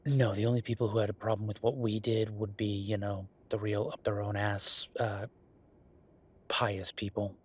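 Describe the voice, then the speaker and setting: A man performs an affectionate, wry werewolf bureaucrat in an intimate audio roleplay. His voice is husky and on the low side, with little rise and fall. He talks casually and haltingly, like an easygoing boyfriend chatting at the office. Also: flat delivery. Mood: amused, unrepentant.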